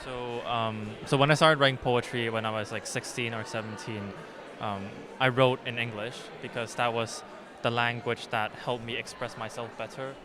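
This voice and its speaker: A man's voice: mid-range voice, steady tone, moderate pace, music in the background. A young man from Hong Kong whose first language is Cantonese, answering interview questions thoughtfully with many fillers and restarts. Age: young adult. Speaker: poet, interview guest.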